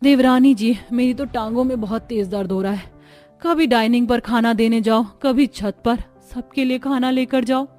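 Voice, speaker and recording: A woman voicing a weary, overworked young daughter-in-law in an animated story, complaining plaintively. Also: on the high side, normal speed, soft background music, close to an everyday voice. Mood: weary complaint.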